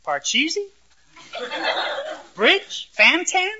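Bashful, bright-voiced, boyish radio sitcom innocent. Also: male voice, extremely high pitch, wildly swooping pitch, drawn-out words, heavily acted. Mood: eager, oblivious. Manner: stammering, flustered. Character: shy, naive, boyish young man, bashful and oblivious. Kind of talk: 1940s radio sitcom acting. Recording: dull, noisy vintage radio recording.

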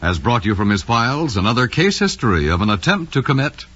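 An actor playing an ominous narrator of crime case histories, solemn and theatrical, in the style of 1940s radio drama. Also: male voice, medium pitch, very animated, a little fast, heavy background noise, close to an everyday voice. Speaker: announcer and narrator. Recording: dull, reverberant old radio recording.

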